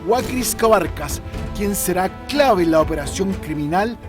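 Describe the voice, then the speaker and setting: A Chilean man reads a crime report as a scripted voice-over, measured and dramatic. His voice sits high for a man. A clear music bed plays under the narration. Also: expressive.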